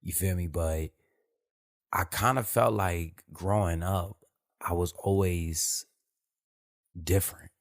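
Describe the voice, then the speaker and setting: Deep-voiced man, loose podcast talk. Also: steady tone, slow pace, gravelly, long pauses. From Detroit, USA. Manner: loose, rambling, peppered with "you feel me" and profanity. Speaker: podcast host. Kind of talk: unscripted casual monologue.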